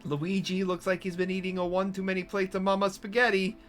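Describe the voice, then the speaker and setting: A man giving casual playthrough commentary, incredulous and joking, often halting as he types. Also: high-pitched, little pitch movement, fairly bright tone, faint music behind. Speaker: streamer playing an AI storybook game.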